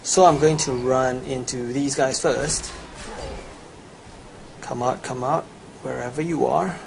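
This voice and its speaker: A man's voice: medium pitch, steady tone, takes it slowly, vocal fry, slight background noise. A young man with a Chinese accent, boasting through a promotional gameplay voice-over as he lists his gear and stats. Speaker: gamer promoting a gold guide.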